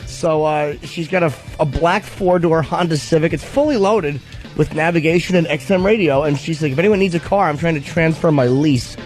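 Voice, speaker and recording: Male radio co-host, slightly high voice, casual sports chat over faint music. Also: normal speed.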